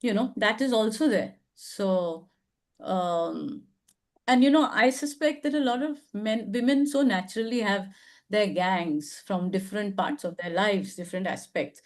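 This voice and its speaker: A woman's voice: mid-range voice, unhurried. An older woman with an Indian English accent, hosting a casual advice discussion, thoughtful and hedging, with many fillers. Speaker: advice show host.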